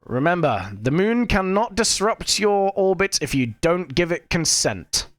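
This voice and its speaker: A man's voice: high voice, very animated, measured pace, dry recording. A British man streaming a game, chatting casually and self-deprecatingly with his viewers while fighting off a cough. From United Kingdom. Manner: rambling, self-deprecating, talks to chat. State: lingering cough. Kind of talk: casual gameplay commentary.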